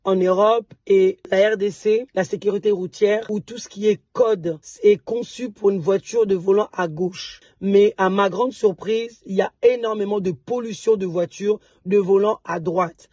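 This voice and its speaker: Woman entrepreneur, mellow and slightly hoarse, loose chatty vlog delivery. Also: mid-range voice, fairly even intonation, normal speed, few pauses, no room sound, studio quality. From DR Congo. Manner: chatty, with frequent restarts and repeated words. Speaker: entrepreneur and vlogger. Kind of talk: casual vlog talk to viewers.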